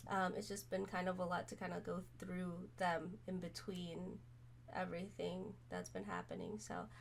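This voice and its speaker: A woman streamer chatting casually to camera, hoarse from being sick, rambling with many pauses and fillers. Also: fairly low pitch, a little hiss or hum. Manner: rambling, many ums, soft-spoken. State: hoarse, recovering from illness.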